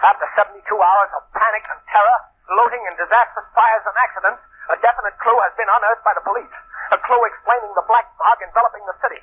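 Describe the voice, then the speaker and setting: A brisk announcer, played by a man, fires off bulletins in quick, clipped bursts in an old radio drama. His voice sits very high and races along. Faint hiss runs under a tinny, lo-fi recording. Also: close to an everyday voice. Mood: urgent. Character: brisk male police dispatcher and newscaster. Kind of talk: 1940s radio acting.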